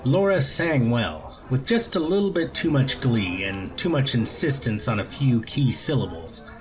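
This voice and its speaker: A man reads a story aloud as an audiobook narrator. His pitch sits in the middle range for a man. The delivery is scripted prose narration. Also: animated, a little slow, soft background music, poor audio. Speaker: audiobook reader.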